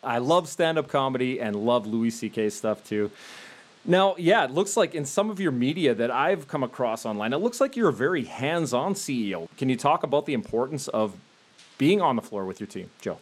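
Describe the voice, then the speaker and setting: A man's voice: mid-range voice, expressive, moderate pace. Easygoing man hosting a podcast. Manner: friendly, laughs along with his guest. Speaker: podcast host. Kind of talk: casual interview questions.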